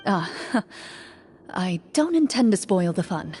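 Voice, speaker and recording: An actress playing a composed, quietly protective female hunter in a video game, speaking in a firm, measured manner. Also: on the low side, big pitch swings, husky, exaggerated voice. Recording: faint music behind the voice.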